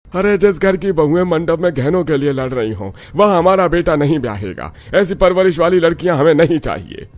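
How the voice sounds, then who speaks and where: A man's voice: on the high side, expressive, a little fast, pitched above the performer's own voice, natural voice. A man voicing a haughty, outraged father of a bridegroom in a dramatized family story, scolding indignantly.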